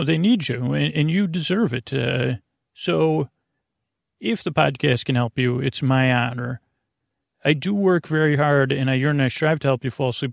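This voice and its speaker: A man with a creaky, gravelly voice hosting a bedtime podcast in a meandering, halting, reassuring monologue that keeps drifting off on tangents. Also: medium pitch, no room sound, clean studio sound. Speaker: host of a bedtime sleep podcast.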